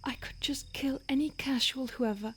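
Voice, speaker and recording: A woman voicing a starved, conflicted female vampire who stalks and craves her listener, speaking in a soft intimate whisper. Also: high voice, moderate pace, slight background noise. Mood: craving, apologetic. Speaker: audio roleplay performer. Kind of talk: whispered audio roleplay.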